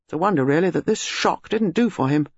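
A man voicing a tall, restless, nervous man who talks briskly and hurriedly. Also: a little high, expressive, breathy edge, no room sound. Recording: dull, dry lo-fi recording.

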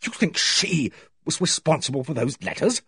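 A man's voice: a little high, very animated, bright, forceful tone, husky, no room sound, studio quality, voice pushed up, exaggerated voice. A man voicing a distressed, disbelieving doctor with a strained delivery in an audiobook. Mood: violent shock. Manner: strained, halting. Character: stunned, distressed doctor, brother of the accused. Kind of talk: audiobook character voice.

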